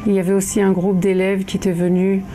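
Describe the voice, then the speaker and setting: A man giving an even, measured voice-over translation of a testimony. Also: high-pitched, background noise. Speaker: interpreter and narrator.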